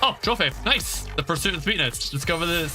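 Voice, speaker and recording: Man streaming games over loud music. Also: a little high, lively intonation, fairly quick. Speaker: game streamer. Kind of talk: casual live gameplay commentary. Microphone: headset. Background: loud game music.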